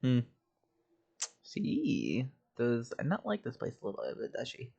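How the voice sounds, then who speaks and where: A man's voice: medium pitch, huge pitch swings, slow, gravelly, darkish tone, breathy edge, muffled recording. A man casually commenting on a video game he is playing, with informal, offhand reactions.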